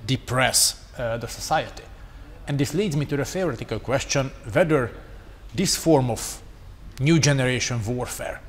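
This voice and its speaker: A man whose first language is Hungarian, speaking English on a conference panel in a measured, analytical way. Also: mid-range voice. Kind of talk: panel discussion. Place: conference hall. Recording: reverberant hall with faint noise.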